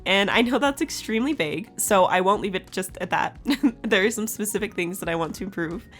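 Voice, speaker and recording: A woman in her twenties with a raspy, scratchy just-woke-up voice, chatting casually in a voice-over for her art channel and laughing often. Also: mid-range voice, animated, a little fast, faint music behind.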